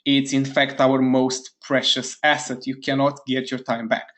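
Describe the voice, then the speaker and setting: An eager man from Romania hosts a live online webinar, pitched squarely in the middle for a man. He speaks straight to his chat audience, upbeat and keen, and fires off quick repeated words of praise. He sounds like he is talking into a computer mic on a web call. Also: flat delivery, fairly bright tone. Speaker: webinar host.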